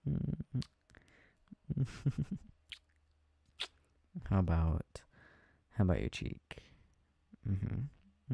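Dark, gravelly, affectionate boyfriend, acted by a man. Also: medium pitch, dry recording, exaggerated voice. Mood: playful, affectionate. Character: affectionate, tired, playful boyfriend getting ready for bed. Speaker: audio roleplay performer. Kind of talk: intimate boyfriend ASMR roleplay, casual chat.

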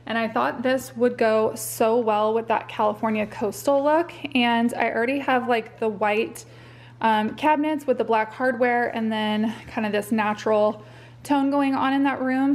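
A young woman giving a chatty, upbeat voice-over for a home vlog, with filler words like "um" and "like.". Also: on the high side. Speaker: home vlogger. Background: faint background music.

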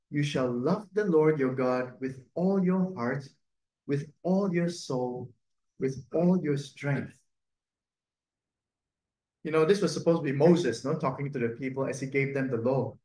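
A man, a priest and native Cantonese speaker, giving a gentle, conversational reflection on the Sunday readings, often adding a questioning "no?". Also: on the high side. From Cantonese-speaking region. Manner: gentle, conversational, tag "no?" and soft laughs. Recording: echoey room.

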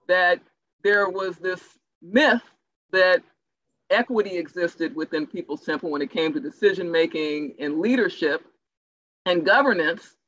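A woman speaking in a formal, measured, academic way as a playwright in a panel discussion. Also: deep voice, fairly bright tone. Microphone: video call. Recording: dull, lo-fi video call audio.

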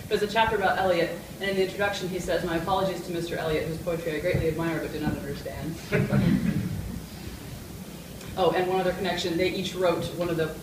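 A woman's voice: fairly low pitch, steady tone, quick pace. A woman in her thirties answering audience questions informally at a talk. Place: hotel conference room. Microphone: camera at a distance. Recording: very echoey room, distant, noisy.

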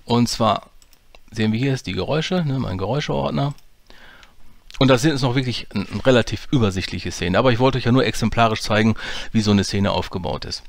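A man walking through his dialogue editing in a relaxed, explanatory way, with many fillers. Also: medium pitch, a little fast, vocal fry, dry recording. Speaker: audio drama producer teaching editing. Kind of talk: screen-recorded software tutorial.

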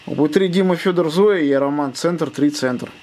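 A man chats casually as a ham radio operator. His voice sits a little high, and he spells call signs word by word. Faint noise runs under the recording. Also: moderate pace, a little mellow. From Russia.

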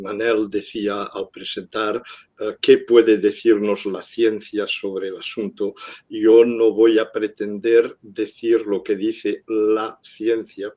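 Slow, low-voiced older man. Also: darkish tone. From Catalonia, Spain. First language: Catalan. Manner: unhurried, hesitant with many fillers. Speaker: guest scientist. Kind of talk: video call interview. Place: home room indoors. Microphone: video call webcam.

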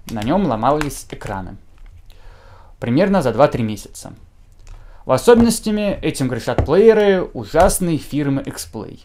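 A man's voice: on the high side, darkish tone. A young adult man giving an informal product review to camera, now and then correcting himself mid-word. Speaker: gadget reviewer. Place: small indoor room, seated at a table.

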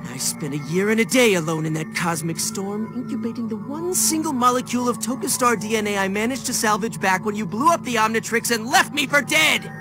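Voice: mid-range voice, lively intonation, a little fast, hardly any pauses, over music, natural voice. An actor voicing a bitter, whiny teenage boy villain in a video game cutscene, ranting theatrically. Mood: furious.

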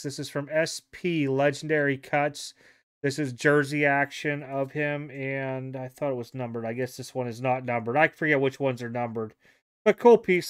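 A chatty man rambles through his recent card pickups, stopping often to correct his own dates and details. His voice is smooth and clear, sitting in the middle range for a man. He talks into a desk mic in a small home room. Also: medium pitch. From Ohio. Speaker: card dealer and vlogger. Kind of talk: casual vlog showing trading cards. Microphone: desk mic, off camera.

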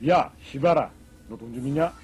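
A man's voice: medium pitch, normal speed, darkish tone, soft background music, voice pushed down, natural voice. A man acting a cheeky young man who begs his friend for money in a comedy skit. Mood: wheedling.

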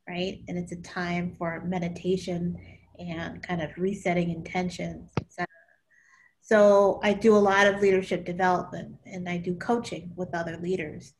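A woman in her thirties, a leadership coach, speaking candidly and animatedly on a video call from her home, sometimes trailing off softly. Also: on the low side, a little hiss or hum, rough recording. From born in Okinawa, grew up in California. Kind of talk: panel discussion. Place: small home room indoors. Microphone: laptop camera on video call.

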